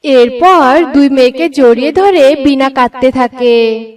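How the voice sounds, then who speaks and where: A woman's voice: sits high, measured pace, a little mellow, recorded from a distance. A woman narrating a scripted bedtime story for a cartoon.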